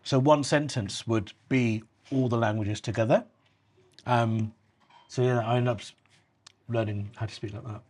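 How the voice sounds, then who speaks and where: Guy in his 30s, husky voice, easygoing host chatting over food. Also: medium pitch, fairly even intonation, normal speed, long pauses, darkish tone. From England. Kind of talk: casual chat over a meal.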